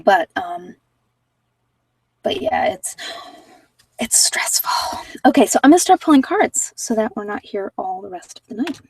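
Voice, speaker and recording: A woman's voice: mid-range voice, sing-song, a little slow, long pauses, darkish tone, husky, no echo, whispered, far from the mic, low-quality recording. A woman talking in a casual, conversational way as she hosts an informal tarot card reading. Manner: conversational, informal, anecdotal asides.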